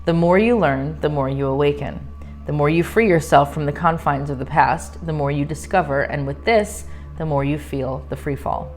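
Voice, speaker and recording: A woman's voice: low voice, normal speed, a little mellow, faint music behind. A woman doing a tarot reading to camera, reading card messages solemnly and then chatting casually between cards. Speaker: tarot reader. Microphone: microphone.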